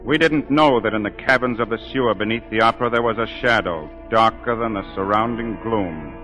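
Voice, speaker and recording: A grave male opera singer tells a dark tale in a forceful, bright voice of middle pitch. His delivery is measured and theatrical, in 1940s radio drama style. Music plays under the vintage broadcast. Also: rough recording. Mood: ominous, grave.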